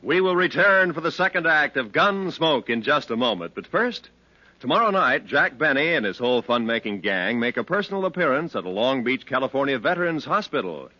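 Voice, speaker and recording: A man's voice: mid-range voice, sing-song, brisk, pushed and bright, soft background music. An American man announcing in the scripted, promotional style of 1950s network radio. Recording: dull vintage radio broadcast.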